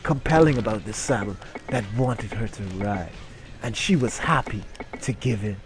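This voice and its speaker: A man's voice: fairly low pitch, animated, moderate pace. Man reading dramatically over music. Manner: theatrical narration breaking into incredulous asides and giggles. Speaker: reader performing a dramatic reading. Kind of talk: comic dramatic reading of an erotic short story.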